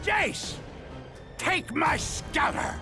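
A boastful, flamboyant alien commander from an anime game. He drags out his words very slowly with a gravelly creak. The delivery is theatrical and scheming, over prominent music. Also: very high-pitched, big pitch swings, heavily acted. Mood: triumphant, gloating. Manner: theatrical, shouting, gloating. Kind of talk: anime game dub acting.

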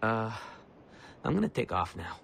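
A man's voice: fairly low pitch, big pitch swings, unhurried, gravelly, background noise, heavily acted. An actor playing a self-assured young male adventurer in a video game, speaking in a terse, casual, wry way. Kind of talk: video game quest dialogue.

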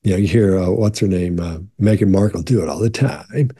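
A man in his sixties with a gravelly voice, chatting casually to camera from his home office while answering viewer questions. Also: low-pitched, a little fast, mellow. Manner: conversational, repeats and restarts, leans into reassurance. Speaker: body language expert.

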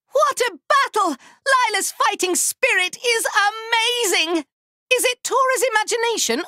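A man voicing a small, excitable, proud furry inventor creature for a video game, squeaky and cartoonish, talking in childlike broken grammar and in the third person. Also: dry recording, clean studio sound, heavily acted. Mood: excitable, eager. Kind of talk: video game character voice lines.

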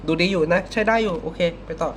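A man giving casual, unscripted reaction commentary as a video host, halting and repeating words as he thinks. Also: sits high, slight background noise.

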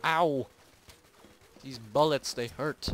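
A young man casually commentating on a shooter game, joking and getting loud when excited. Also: mid-range voice, takes it slowly. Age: young adult. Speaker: gaming channel host. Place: home, recorded late at night.

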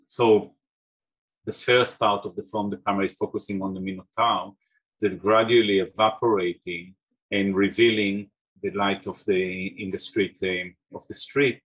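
A middle-aged man from Israel with an Israeli accent, an artist talking over a video call about his films, thoughtful, pausing with fillers. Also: on the low side, steady tone, a bit bright, slightly rough, poor audio. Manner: thoughtful, many restarts and fillers. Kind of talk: talk about his own work. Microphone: video call webcam.

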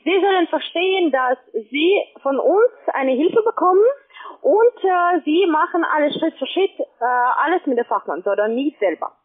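Female phone sales agent, non-native speaker, high pitch, halting but pushy, thin telephone sound. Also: animated. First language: not German. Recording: narrow tinny phone line.

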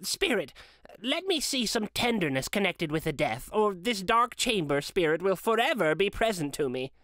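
Man doing scared old miser, repentant, shaky pleading, stammers, storybook, pitched up. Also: very animated, normal speed, fairly bright tone, dry recording. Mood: horrified pleading. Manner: tremulous, stammering, earnest.

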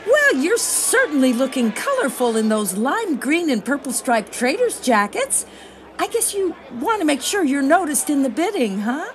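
A woman's voice: sits high, big pitch swings, normal speed, noisy background. A woman playing a perky, unflappable roving reporter in a satirical on-the-scene radio report. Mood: perky, wry. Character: perky, unflappable female radio reporter among talking rhinos.

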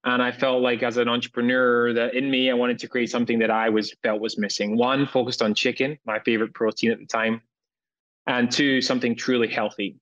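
A man's voice: mid-range voice, lo-fi sound. A man in his early thirties from Scotland, a company founder speaking steadily as a guest on a video-call interview. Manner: steady, measured, lists points. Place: indoor room, home.

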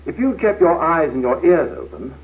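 Old tinny training film, curt sarcastic british manager, middle aged, voice goes up and down a lot. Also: male voice, fairly high pitch, sing-song, moderate pace. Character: impatient, self-righteous middle-aged male office manager. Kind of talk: 1970s training film acting. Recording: tinny, noisy 1970s film soundtrack.

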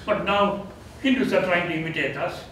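An older man with a Tamil accent reflects on retirement in a relaxed, self-deprecating way. His voice sits slightly high. The recording is taken from a far camera in an echoey seminar room with steady background noise. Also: moderate pace. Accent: Tamil-accented English. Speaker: retiring theology professor. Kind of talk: informal farewell lecture with Q&A. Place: seminar room, indoors, medium-sized.

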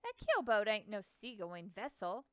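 A woman's voice: medium pitch, wildly swooping pitch, unhurried, smooth, dry recording. A woman reading a folksy proud dog breeder. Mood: skeptical.

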